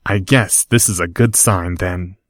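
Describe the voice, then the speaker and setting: A man's voice: low-pitched, steady tone, measured pace, no echo, clean studio sound. A man voicing a proud, snobbish rich boy who talks down to others. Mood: haughty. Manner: lofty, condescending.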